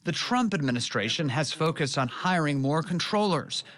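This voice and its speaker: A man's voice: fairly high pitch, expressive, moderate pace, fairly bright tone. A man reading scripted narration for a television news report as a correspondent.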